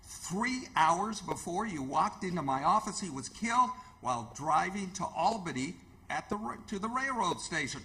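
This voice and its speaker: An actor playing an insistent, alarmed doctor, emphatic and confronting, in old-time radio theatre. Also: male voice, high voice, sing-song, moderate pace, pushed and bright, full character voice, low-quality recording. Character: urgent, insistent, alarmed male hospital doctor.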